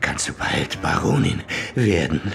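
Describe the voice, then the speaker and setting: Gravelly, very deep old lecherous baron. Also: male voice, big pitch swings, measured pace, heavily acted. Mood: furtive, seductive.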